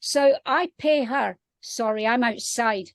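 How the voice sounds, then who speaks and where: A woman's voice: on the high side, slow pace, dry recording, studio quality. A woman from Scotland with a Scottish accent, talking casually outdoors as a guest author on a panel.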